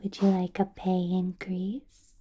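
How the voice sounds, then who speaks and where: Slow, whispering vampire boss lady, acted by a woman. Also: fairly low pitch, monotone. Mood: coaxing, reassuring. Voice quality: breathy soft whisper. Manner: slow, coaxing, hushed questioning with lingering hums. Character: female vampire boss, doting, quietly probing and possessive. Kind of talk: ASMR roleplay, personal attention.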